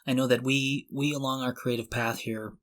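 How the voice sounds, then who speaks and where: A man's voice: mid-range voice, little pitch movement, moderate pace, a bit bright. A man co-hosting a conversational podcast, talking earnestly and a little tentatively. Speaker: podcast co-host.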